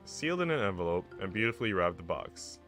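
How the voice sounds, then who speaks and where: A man's voice: sits low, big pitch swings, quick pace, music in the background. A man reading a visual novel aloud and chatting casually about games as a streamer. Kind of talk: casual read-aloud and game chat.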